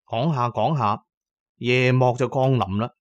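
A man's voice: mid-range voice, a little slow, no echo, highs cut off. A man narrating a scripted first-person story in a dramatic storytelling manner. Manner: dramatic storytelling, voicing characters' lines.

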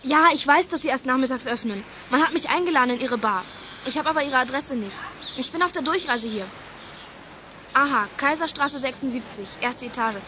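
Eine Schauspielerin spielt in einem Kinderhörspiel ein keckes, mutiges Detektivkind mit vorlauter Art. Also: female voice, high voice, fairly quick, bright, forceful tone, slight background noise. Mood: politely pretending. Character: cheeky, plucky young child detective. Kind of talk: German children's radio play acting.

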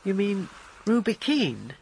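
Lively well-bred English lady. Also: medium pitch, slow, vocal fry, slight background noise, full character voice. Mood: curious. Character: well-bred English lady, chatty, loyal, easily surprised. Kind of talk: radio drama acting.

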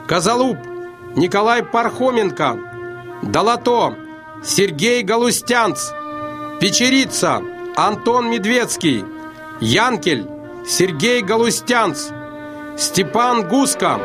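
High-voiced, unhurried male radio announcer. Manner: measured, formal listing. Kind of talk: formal radio drama credits announcement.

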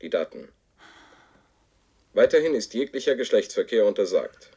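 An actor playing a stern authoritarian radio announcer of a war regime, declaiming commands in a clipped official manner in a radio drama. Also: male voice, on the low side, big pitch swings, normal speed, mellow, breathy edge, highs cut off, full character voice. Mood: cold, official. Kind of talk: 1970s radio drama propaganda broadcast.

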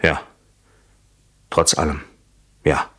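An actor playing a jealous, brooding murderer husband in a German radio drama, terse and questioning, with a standard German accent. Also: male voice, very deep voice, little pitch movement, extremely slow, sharp tone, breathy edge, heavily acted. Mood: defensive.

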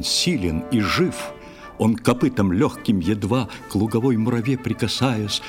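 A man narrating a poetic old tale for a children's audio play in a measured, expressive way, with drawn-out phrases. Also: sits low. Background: music.

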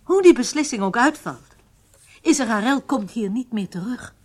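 A woman's voice: a little high, expressive, measured pace. An actress playing a disheartened, loyal female intelligence worker in a radio drama, wistful and emotional in an informal way. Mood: dejected.

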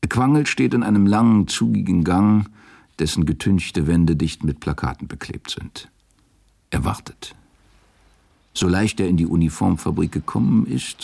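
A man's voice: deep voice, creaky, mellow, slightly rough. A man giving scripted literary narration as the narrator of a radio drama.

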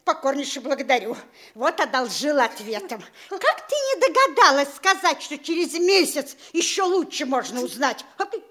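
An actress playing an excitable, vain, middle-aged mayor's wife who scolds and fires off questions. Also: female voice, normal speed. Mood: scolding. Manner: scolding, breathless, shrill exclamations. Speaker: actress in a radio play. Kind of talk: classic stage radio acting. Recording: echoey room.

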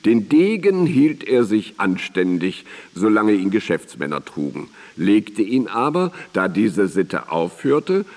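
An actor playing a reverent former pupil and biographer in a radio drama, reminiscing in measured, emphatic, formal phrases. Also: male voice, lowish voice, sing-song, darkish tone, in a large hall, exaggerated voice. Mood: reverent, fond.

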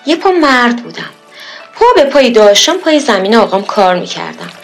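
A woman's voice: on the high side, sing-song, vocal fry, faint music behind. A woman playing a proud, fretful middle-aged village mother, folksy and lamenting, in a period radio drama. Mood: proud reminiscing. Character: proud, fretful village-born mother, khan's daughter, doting and scolding.

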